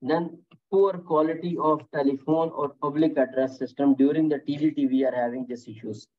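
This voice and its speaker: A man's voice: on the high side, fairly even intonation, normal speed. Encouraging male instructor over a tinny call. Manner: encouraging, repeats students' answers, frequent "okay" and "very nice". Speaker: safety course instructor. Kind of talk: online lecture with class questions. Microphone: computer mic on a video call. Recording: tinny phone-like call audio.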